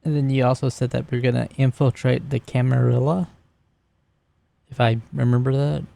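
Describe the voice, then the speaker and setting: A man playing a tabletop roleplaying game, talking casually and describing his character's actions in a halting way. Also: medium pitch, steady tone, creaky, mellow.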